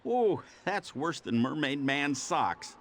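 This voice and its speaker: A man's voice: on the high side, animated, slow, a bit bright, a little hiss or hum, full character voice. A man voicing a peevish yet gung-ho superhero sidekick in a cartoon game, grumbling and melodramatic. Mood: exasperated. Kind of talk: cartoon video game acting.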